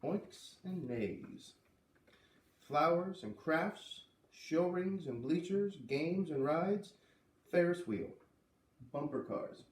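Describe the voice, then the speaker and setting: A man reads a children's story aloud with expression, giving the dialogue a light lift. His voice sits at some distance from the microphone, with clear room echo around it. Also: on the high side, animated, takes it slowly. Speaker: reader of a children's book read-aloud series. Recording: roomy, voice away from the microphone.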